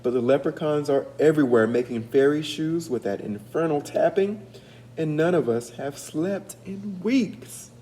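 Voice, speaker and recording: A man's voice: a little high, animated, unhurried, mellow, slight background noise, voice pushed up. A man reading a storybook and voicing a weary, exasperated village mother with plaintive, dramatic complaining.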